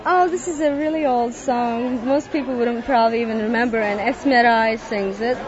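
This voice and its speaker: A young woman from Turkey with a Turkish accent, chatting haltingly and fondly in an interview at a picnic. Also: on the high side. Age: young adult. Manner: halting, giggly and fond. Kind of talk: casual interview chat. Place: outdoor picnic crowd. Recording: muffled, crowd noise behind.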